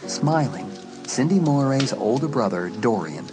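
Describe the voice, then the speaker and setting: A man's voice: medium pitch, very animated, a little slow. A man reading scripted fiction as a dramatic audiobook narrator. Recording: music under the voice. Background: music.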